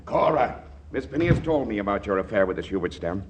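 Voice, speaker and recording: A man's voice: fairly low pitch, sing-song, quick pace, a little hiss or hum. An actor playing a stern, exasperated businessman father in 1940s radio comedy style, blustery and sarcastic, on a muffled old recording.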